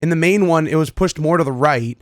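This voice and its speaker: High-pitched man doing excited video reaction commentary. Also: fairly quick. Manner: casual, repetitive exclamations, addresses viewers familiarly. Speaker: video host reacting to game clips.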